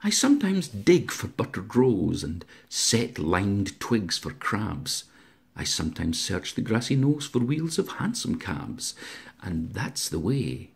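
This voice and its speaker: A man's voice: on the low side, big pitch swings, slow pace, gravelly, breathy edge, heavily acted. A man voicing a very old, mild, rambling poor odd-job man in a storybook poem. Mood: earnest.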